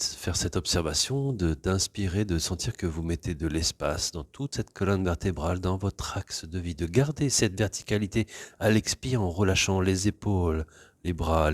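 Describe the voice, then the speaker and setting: A man leading a yoga class, giving calm, unhurried guided instructions for body awareness and breathing. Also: low-pitched, no room sound.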